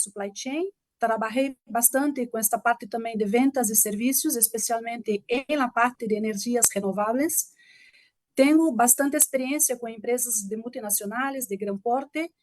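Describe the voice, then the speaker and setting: A woman with a Brazilian Portuguese accent delivers a guest lecture over a video call. Her voice sits fairly high, the sound is dry and close, and she restarts her phrases often in a courteous, careful way. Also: dry recording. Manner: hesitant, polite, restarts often. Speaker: guest speaker. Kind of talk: online lecture presentation.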